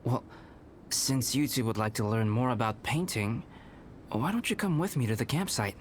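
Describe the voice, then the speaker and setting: A man voicing a calm, composed young male alchemist and painter who explains things in a measured way, in a video game cutscene. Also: medium pitch, a little hiss or hum, lightly acted. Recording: game cutscene with background music.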